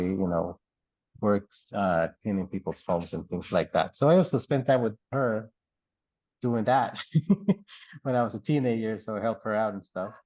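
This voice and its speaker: Low-voiced middle-aged guy from dominican republic and puerto rico, rambly and laid back, dull dry call audio. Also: dry recording. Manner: relaxed, rambling storyteller with frequent fillers and laughs. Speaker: artist in residence. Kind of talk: oral history interview.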